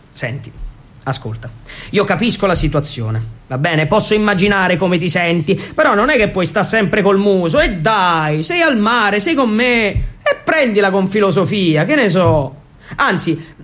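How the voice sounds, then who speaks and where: A man's voice: sits high, a bit bright. A man playing an easygoing teenage boy coaxing a friend in colloquial speech, in a theatre monologue.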